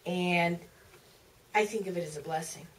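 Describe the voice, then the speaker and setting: A woman giving reflective answers as a guest at a Q&A, searching for her words and restarting phrases. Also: fairly low pitch. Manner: halting, searching for words, repeats starts of phrases. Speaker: author, panel guest. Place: theater stage at a public Q&A. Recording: distant mic, faint room noise.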